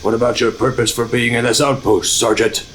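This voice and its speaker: An actor playing a stern, skeptical senior military commander, formal and authoritative, in an audio drama. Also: male voice, medium pitch, little pitch movement, fairly quick, a bit bright, a little hiss or hum, lightly acted.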